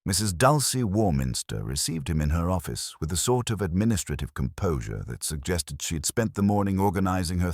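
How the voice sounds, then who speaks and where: A man narrating a scripted mystery story in a measured, formal, literary manner. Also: low voice, no room sound, studio quality.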